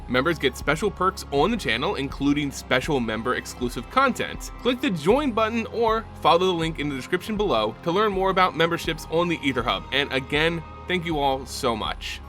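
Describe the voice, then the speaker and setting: A man narrating a scripted fantasy story dramatically, with theatrical, deliberate phrasing. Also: a little high, lively intonation, fairly bright tone, soft background music. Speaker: channel host and narrator.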